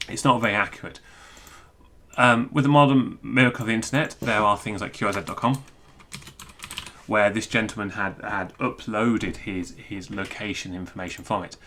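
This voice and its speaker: A man giving an informal technical screencast walkthrough, thinking aloud in a halting, self-interrupting way. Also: lowish voice, pushed and bright, a little hiss or hum. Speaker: presenter explaining technical material.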